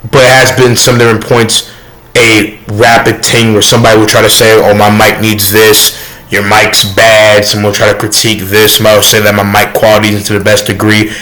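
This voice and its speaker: A soft-toned young man rambling defensively in a casual vlog rant, full of fillers. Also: lowish voice, monotone, fairly quick, poor audio. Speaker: YouTuber.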